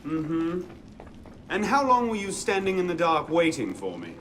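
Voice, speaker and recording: A man's voice: on the high side, sing-song, a little slow, fairly bright tone. An actor playing a wry young man seeking redemption in a video game, dry yet earnest. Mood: wry, teasing. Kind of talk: dramatic video game acting. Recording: long reverb, faint background noise.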